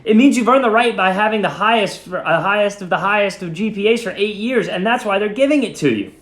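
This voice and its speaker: A man's voice: sits high, lively intonation, fairly quick, fairly bright tone, voice pushed up. A man voicing an earnest, emotional schoolgirl in a storybook reading, eager in manner. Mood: eager, flustered. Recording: echoey room.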